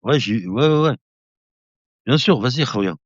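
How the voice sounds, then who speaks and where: A man's voice: mid-range voice, measured pace, no echo. A man in his fifties hosting a casual live stream, chatting to viewers and calling them brother, rambling and laughing easily. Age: 50s. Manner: laughing often, relaxed rambling. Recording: dull lo-fi dry sound.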